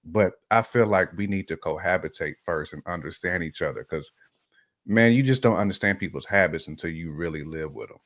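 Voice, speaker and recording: A man's voice: low-pitched, fairly quick, a bit bright, no room sound, studio quality. A man co-hosting a talk show, speaking casually and colloquially about his opinions and repeating phrases for emphasis.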